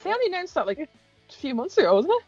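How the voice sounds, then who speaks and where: An Irish woman with a high voice chats casually and excitably as a co-host, gushing, giggling and swearing freely. The recording is close and dry but slightly dull and lo-fi. Music plays behind her. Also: very fast, no echo. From Ireland. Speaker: co-host of a fan tribute chat. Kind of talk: casual fan chat.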